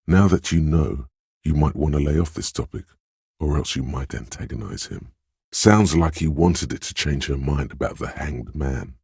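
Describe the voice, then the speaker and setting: A very deep, husky, insinuating inner voice. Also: male voice, darkish tone, no room sound, clean studio sound, full character voice. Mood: knowing, coaching. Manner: measured, knowing, insinuating coaching. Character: Suggestion, a sly insinuating inner voice reading people and coaching. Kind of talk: scripted video game narration.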